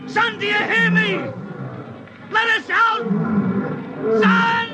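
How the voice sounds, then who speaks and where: An actor playing a panicked father in a 1950s radio drama, shouting with desperate urgency. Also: very high-pitched, fairly even intonation, takes it slowly, soft background music, full character voice, rough recording. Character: panicked father locked inside a deadly nursery. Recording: 1950s radio recording, loud dramatic effects.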